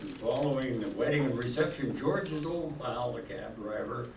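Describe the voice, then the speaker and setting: A man's voice: mid-range voice, moderate pace, gravelly, a little mellow. A man narrating and announcing an old-time radio play as a scripted reading. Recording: echoey distant room, faint noise.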